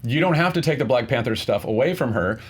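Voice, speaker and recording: A man debating casually as a contestant, argumentative and often restarting his points in a halting way. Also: mid-range voice, expressive, breathy edge.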